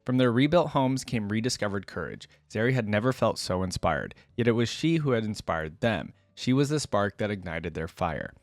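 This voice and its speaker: A man with a fairly low voice hosts a reaction video, reading story text and adding casual comments. The sound is dry and close, with little room around it. His delivery is informal and conversational. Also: animated, moderate pace, hardly any pauses, no echo. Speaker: reaction video host and reader.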